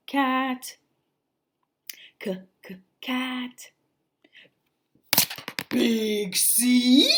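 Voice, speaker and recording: A middle-aged woman from New Jersey with a softened Jersey accent, teaching phonics in a livestream and sounding out letters while checking in with "okay?". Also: a little high. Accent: New Jersey, softened toward standard American. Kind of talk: livestream phonics lesson for parents.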